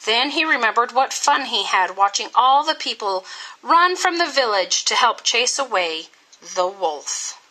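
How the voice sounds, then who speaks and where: Lady reading a kids story, bright voice, slow and dramatic, really animated. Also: a little high, low-quality recording. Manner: dramatic, deliberate phrasing. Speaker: storybook reader. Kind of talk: expressive scripted storytelling for children.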